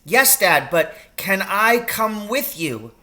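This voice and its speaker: A man's voice: sits high, big pitch swings, slow pace, sharp tone, pitched above the performer's own voice, heavily acted. A man voicing an eager, earnest young boy in a storybook, questioning and pleading with his father.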